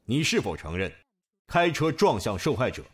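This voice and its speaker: A man's voice: mid-range voice, very animated, moderate pace, husky. A man playing a solemn judge in a radio drama, speaking formally and declaiming. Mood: stern questioning. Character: stern, formal male judge delivering a verdict.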